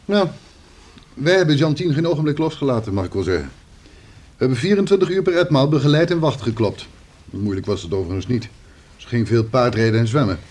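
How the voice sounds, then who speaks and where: A man acts out a talkative male police officer in a radio play. His medium-pitched voice is very animated and swings widely. He reports matter-of-factly, a little on the defensive. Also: fairly quick, lightly acted. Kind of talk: Dutch radio play acting.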